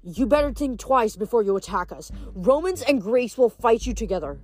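A woman's voice: on the high side, sing-song, normal speed, a little mellow. A woman voicing a brave teenage demigod girl in a fantasy story, urgent and defiant. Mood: defiant shouting. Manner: bold, urgent, bluffing.

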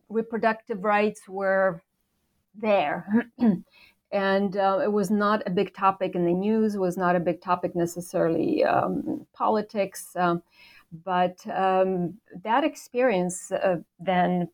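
A woman in her sixties from Poland, a professor answering interview questions thoughtfully, with many pauses, fillers and restarts. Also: lowish voice, darkish tone. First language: Polish. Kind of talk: academic interview.

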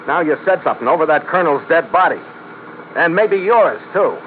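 An actor playing a tough, wry American sea captain in a vintage radio adventure, speaking in a clipped, hardboiled manner. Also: male voice, on the high side, expressive, fairly quick, a little hiss or hum, natural voice. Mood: defiant, suspicious. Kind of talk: 1940s-style radio acting and first-person narration. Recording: tinny vintage radio, loud music behind.

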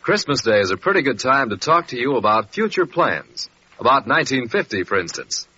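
A man's voice: medium pitch, big pitch swings, brisk. A man delivering a polished, persuasive announcement in 1940s radio style. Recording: muffled, faintly noisy 1940s radio.

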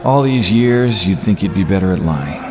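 A man's voice: low-pitched, expressive, moderate pace. An actor playing a brooding, haunted retired male vigilante in an audio drama, grave and confiding. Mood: concerned, friendly. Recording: music behind the voice.

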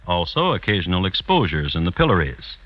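An actor playing a dry, deliberate crime chronicler who hosts and narrates a 1950s radio drama. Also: male voice, sits low, big pitch swings, no room sound. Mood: grave, wry. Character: dry, measured male crime chronicler hosting the tale. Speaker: narrator host of crime series. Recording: dull vintage radio recording.

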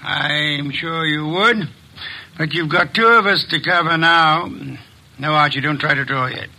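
A man's voice: on the high side, unhurried, bright, forceful tone, heavily acted, rough recording. An actor playing a shrewd, formal male detective who speaks in a precise, commanding way, in 1940s radio style.

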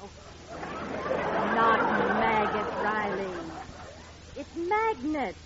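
A woman's voice: on the high side, sing-song, extremely slow, full character voice. An actress playing a sensible, proud and supportive American wife and mother in an old-time radio sitcom. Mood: gently correcting. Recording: muffled, noisy radio recording with crowd.

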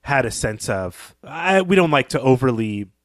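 A man talks as a podcast co-host in a literary discussion. His voice sits at an average pitch and he phrases his analysis thoughtfully and articulately. The sound is dry, with hardly any room on it. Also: lively intonation, normal speed, dry recording.

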